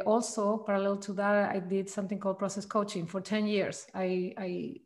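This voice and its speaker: A woman in a middle-pitched voice. She answers questions thoughtfully, often stopping and restarting as she looks for the right words. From Chile. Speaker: guest, trauma practitioner. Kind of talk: casual interview answers.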